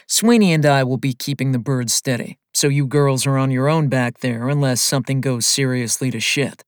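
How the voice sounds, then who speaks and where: A narrator voicing a steady, gruff male pilot in charge, in an audiobook. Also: mid-range voice, moderate pace, no echo, studio quality, close to an everyday voice. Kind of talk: audiobook character voice.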